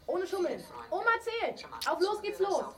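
A woman's voice: very high-pitched, expressive, normal speed, crowd noise. A woman playing an eager, cheeky East German schoolchild, a Young Pioneer, insistent and chirpy in a grotesque radio play, recorded at a distance with music.

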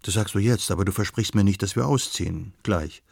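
A man's voice: fairly low pitch, brisk, creaky, darkish tone, dry recording, studio quality. A man reading the part of an anxious, pleading young pregnant wife in a novel, urgent and coaxing.

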